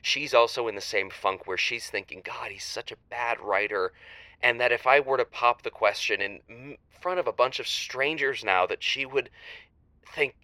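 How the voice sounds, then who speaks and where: A man playing an anxious, insecure aspiring novelist calling a radio show in an audio drama, halting and flustered. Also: medium pitch, sharp tone, no room sound, close to an everyday voice, rough recording. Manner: halting, flustered, self-doubting. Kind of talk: semi-improvised audio drama.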